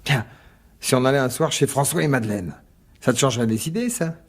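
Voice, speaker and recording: An actor playing a weary, placating husband in a radio mystery drama, colloquial and sighing, coaxing yet dismissive. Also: male voice, mid-range voice, lively intonation, fairly quick, husky.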